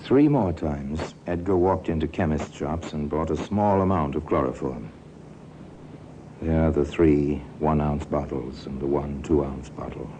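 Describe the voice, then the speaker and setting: Very deep, dark-voiced crime storyteller. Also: male voice, a little slow. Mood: grave, measured. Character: grave male storyteller of a true-crime tale. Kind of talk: 1950s radio crime drama narration. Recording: noisy old radio recording with rumble.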